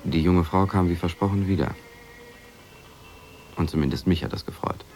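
An actor playing a worried husband in a radio drama, recounting events in a measured, confiding way. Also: male voice, low-pitched, fairly even intonation, a little mellow, lightly acted. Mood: reflective, concerned. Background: music.

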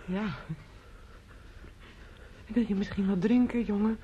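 An actress in a radio play playing a motherly older woman who talks in an unhurried, soothing way. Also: medium pitch, fairly even intonation, mellow, natural voice. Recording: dull, noisy old radio play recording.

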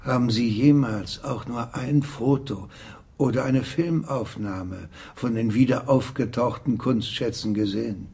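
An actor playing a wary, bitter archaeology professor in a radio drama, husky, measured and formal. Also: male voice, medium pitch, a little mellow.

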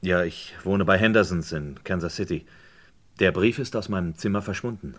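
A man's voice: sits low, moderate pace. An actor playing a guarded, indignant man in a radio crime drama, terse and sceptical in his questioning. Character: guarded, indignant man defending his dead father's honour.

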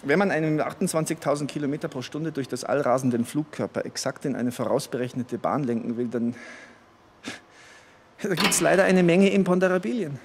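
An actor, chatty and explanatory. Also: male voice, on the high side, fairly quick, natural voice. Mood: explaining. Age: young adult. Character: brisk, casual young male rocket engineer boss. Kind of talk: radio play dialogue. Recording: noisy, with car and machinery ambience.

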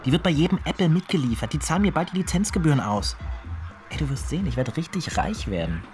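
A male actor plays an excitable male hacker in a radio drama. The voice is fairly high and very animated, stammering and defensive, with faint music behind it. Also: fast, lightly acted. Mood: defiant, hopeful. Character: flustered, defensive male hacker programmer, naively optimistic. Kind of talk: German radio drama dialogue.

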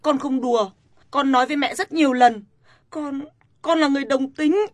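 A woman's voice: sits high, normal speed, no room sound, natural voice. An actress playing a stubborn young daughter who pleads with her mother in a family drama. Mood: insistent. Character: headstrong young woman defying her mother. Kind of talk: radio-style family drama.

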